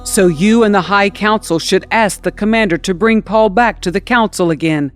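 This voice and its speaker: A woman's voice: mid-range voice, normal speed, faint music behind. A woman reading scripture aloud as a scripted audiobook narration. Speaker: scripture reader.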